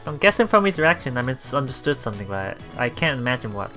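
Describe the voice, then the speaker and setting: A man reading a visual novel aloud as a let's play streamer, haltingly, with repeats and self-corrections. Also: medium pitch, very animated. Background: soft game music.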